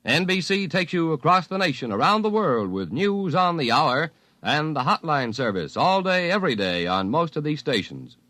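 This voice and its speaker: Bright, punchy 1950s radio promo man. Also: a little high, very animated, normal speed.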